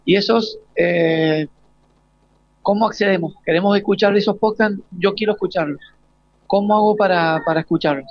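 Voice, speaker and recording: High-voiced Argentine man interviewing, haltingly. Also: no echo. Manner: hesitant, halting questions with repeated starts. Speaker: radio interviewer. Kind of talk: informal live interview with students. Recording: slightly dull, lo-fi live broadcast.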